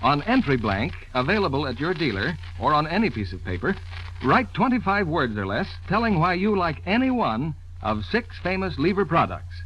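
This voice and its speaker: A man's voice: on the high side, sing-song, normal speed, fairly bright tone. An American man announcing an advertisement in 1940s radio style. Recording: dull, faintly noisy old radio recording.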